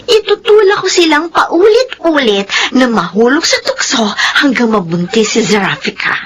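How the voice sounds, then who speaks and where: A woman's voice: high voice, very animated, normal speed, slight background noise. A woman acting a scheming, vain young rich woman in a Filipino radio drama, with a haughty, theatrical manner. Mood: gleeful scheming.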